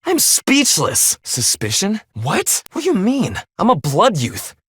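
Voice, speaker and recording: A man's voice: high-pitched, huge pitch swings, a little slow, a bit bright, husky, no room sound, exaggerated voice. A man voicing a stern, blunt young half-human leader in a video game, speaking in a clipped, formal, commanding way. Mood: shocked, demanding. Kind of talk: English video game voice acting.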